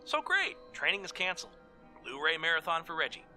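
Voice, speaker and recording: A man plays a lazy, wisecracking talking bird in an audio drama. His voice sits on the high side and swings up and down with flippant, jokey patter. Music plays clearly behind him. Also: sing-song, brisk, bright, forceful tone, heavily acted. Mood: breezy. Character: wisecracking flightless bird sidekick, lazy movie buff.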